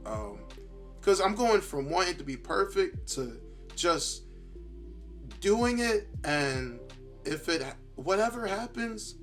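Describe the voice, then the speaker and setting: Guy podcasting by himself, worn out kinda high voice, stop and start rambling, faint music behind. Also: a bit bright. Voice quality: tired, worn-out voice. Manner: halting, rambling, self-reflective. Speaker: podcast host. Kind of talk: casual solo monologue, thinking aloud.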